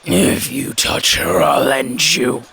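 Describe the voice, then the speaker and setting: A man's voice: medium pitch, sing-song, slow, vocal fry, bright, forceful tone, slightly rough, heavily acted. A man voicing a brooding, dangerous dragon mafia boss, intense, threatening and fiercely protective, in an audio roleplay. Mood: furious, protective.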